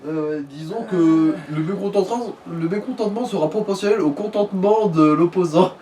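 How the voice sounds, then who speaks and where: A man's voice: high-pitched, fairly quick, warm tone. A man chatting casually as co-commentator on a game, slangy and wry. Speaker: let's play co-commentator. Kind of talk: casual chat over a game. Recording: noisy, slightly distant mic.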